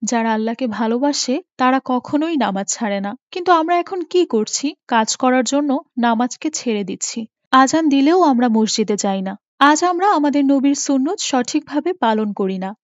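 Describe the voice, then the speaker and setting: A woman playing a gentle, devout, long-suffering mother in a moral cartoon, tender and preachy. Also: sits high, lively intonation, moderate pace, dry recording. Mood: devout, instructive. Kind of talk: Islamic moral cartoon voice acting. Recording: muffled, with music behind.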